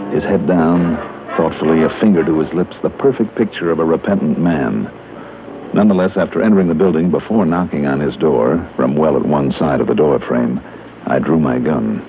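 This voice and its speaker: An actor playing a tough, wry private investigator with a dry, sardonic delivery in an old-time radio drama. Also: male voice, extremely low pitch, brisk, background noise. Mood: wry, businesslike. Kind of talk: old-time radio detective drama, first-person narration. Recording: muffled old radio recording, music behind.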